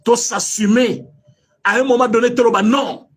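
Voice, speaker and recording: A man's voice: extremely high pitch, fairly even intonation, normal speed, breathy edge. A man from Congo with a Congolese French accent, giving impassioned political commentary full of rhetorical questions and switching between French and Lingala. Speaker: online commentator.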